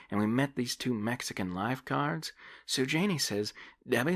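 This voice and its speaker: A man voicing a bitter young woman from the Deep South with a smoky voice and blunt, cursing talk. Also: fairly bright tone, dry recording, studio quality. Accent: Deep South American. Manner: tough and blunt, swears.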